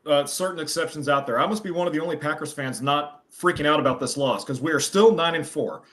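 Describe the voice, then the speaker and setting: A man on a sports talk panel, explaining game stats in a steady, methodical way. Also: fairly high pitch, quick pace. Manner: steady, stat-heavy explaining. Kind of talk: sports analysis.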